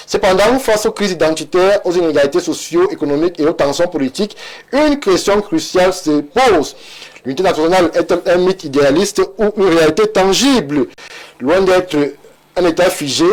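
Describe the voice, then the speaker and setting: A man hosting a studio debate, reading viewer messages aloud in a measured way and handing the floor to guests. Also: fairly high pitch, darkish tone, rough recording.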